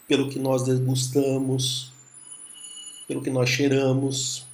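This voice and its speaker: Brazilian guy teaching, gravelly voice, calm and thoughtful, lots of little pauses. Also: medium pitch. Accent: Brazilian Portuguese. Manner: calm, musing, with tag questions and pauses. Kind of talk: reflective video lecture.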